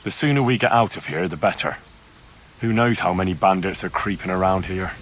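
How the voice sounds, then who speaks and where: A man's voice: sits low, a little fast, fairly bright tone, slight background noise. A man playing a cheeky young peasant squire in a video game cutscene, dryly mock-humble toward his betters. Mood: concerned. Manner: dry, sardonic deference.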